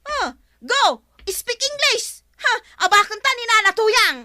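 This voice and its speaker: A woman's voice: very high voice, lively intonation, slow, pushed and bright, a little hiss or hum, full character voice. A woman acting a feisty, talkative village councilwoman with an Ilocano accent, exclaiming and bickering in a broadcast comedy drama. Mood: indignant.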